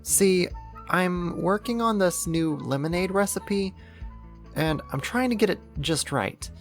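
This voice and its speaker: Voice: mid-range voice, expressive, soft background music. A performer playing a possessive yandere neighbor who kidnaps, sweet yet menacing, in an intimate, coaxing ASMR roleplay monologue. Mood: friendly coaxing. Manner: coaxing, slow, deliberate, condescending endearments.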